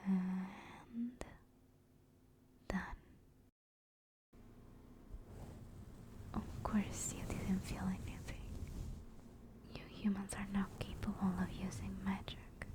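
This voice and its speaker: A gentle female sleep demon played by a woman whispers in an ASMR comfort roleplay. Her delivery is very slow and level, with little rise or fall. She sounds caring and reassuring throughout. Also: medium pitch, lightly acted. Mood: gentle, coaxing.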